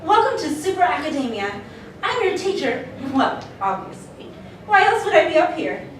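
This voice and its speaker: A woman's voice: very high voice, moderate pace, a little hiss or hum. A woman playing a bubbly, easily exasperated teacher of young superheroes in a live comedy read. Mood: bright welcoming. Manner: expressive, patronizing, exaggerated sighing exasperation. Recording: very echoey convention hall, distant mic.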